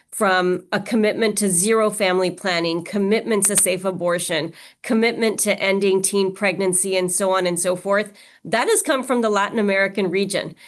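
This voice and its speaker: A woman born in Pakistan and raised in Mexico, speaking as an interviewer in an earnest, measured way with frequent fillers. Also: medium pitch. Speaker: interviewer, organization leader. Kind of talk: conversational interview.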